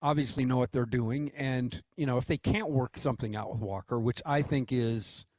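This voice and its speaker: Dry, close-miked American sports co-host. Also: male voice, mid-range voice, normal speed, darkish tone, no echo. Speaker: radio co-host. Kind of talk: casual sports talk.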